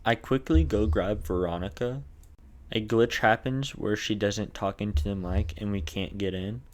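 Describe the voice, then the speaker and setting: A man's voice: on the low side, steady tone, moderate pace, darkish tone. A man with a lisp narrating a video game challenge run in a casual, crude, jokey way.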